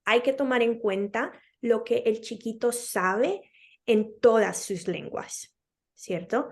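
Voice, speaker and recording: A woman's voice: on the high side, slow pace. A woman from Colombia with a Colombian accent, a speech-language pathologist being interviewed, who explains patiently with examples and many hesitant fillers.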